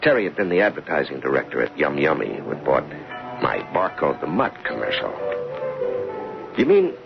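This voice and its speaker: A man's voice: very deep voice, very animated, moderate pace, vocal fry, full character voice. An actor playing a flustered, incredulous and honest middle-aged American adman in an old-time radio drama, hesitant and prone to exclaiming. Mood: curious, grumbling. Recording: muffled radio broadcast recording with music.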